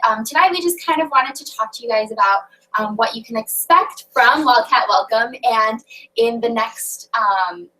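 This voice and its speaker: Young woman, bright bubbly voice, higher pitch, a bit far from the mic. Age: young adult. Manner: bubbly, many fillers. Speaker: host. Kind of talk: casual video call chat.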